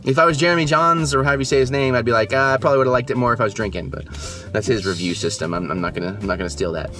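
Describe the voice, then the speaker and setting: A man's voice: medium pitch, racing, sharp tone. A young man giving a casual movie review just after the screening, rambling and hesitant with many fillers and restarts. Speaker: movie reviewer, channel host. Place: just back from the theater, at home.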